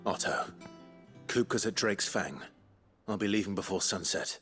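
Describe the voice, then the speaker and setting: An actor playing a brooding, resolute warrior leader in a fantasy game, husky, terse and earnest. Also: male voice, moderate pace, a bit bright, soft background music. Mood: determined. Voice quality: husky, gravelly rasp. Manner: low-key, terse, earnest. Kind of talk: scripted fantasy game dialogue.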